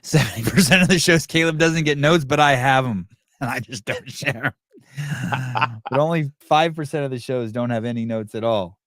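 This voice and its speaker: A man hosting a podcast, chatting in a casual, profane, animated way and telling stories with put-on voices. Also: medium pitch, normal speed, no echo, studio quality. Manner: profane, animated storyteller who does voices. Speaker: podcast host. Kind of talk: casual banter. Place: California home.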